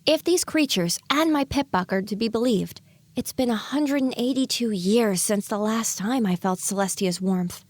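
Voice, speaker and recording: A woman's voice: on the high side, moderate pace, no echo, natural voice. A woman playing a bewildered young female pony thinking aloud in an introspective, wry way in an audio drama. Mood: pensive, uneasy. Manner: musing, questioning inner monologue.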